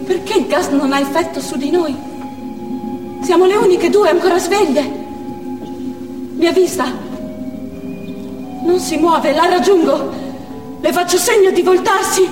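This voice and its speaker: A woman's voice: extremely high pitch, normal speed, pauses often. An actress playing a desperate, defiant female Chechen militant in a declamatory theatrical monologue. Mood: anguished, urgent. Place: theatre stage, picked up from afar. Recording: distant echoey theatre hall with music.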